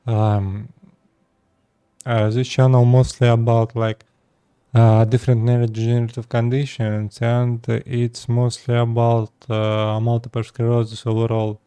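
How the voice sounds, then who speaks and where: A 36-year-old man from Russia with a Russian accent, speaking halting second-language English as he talks casually to his viewers. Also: medium pitch, flat delivery, mellow, clear, resonant tone, no echo. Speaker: vlogger. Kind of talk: casual personal talk to camera.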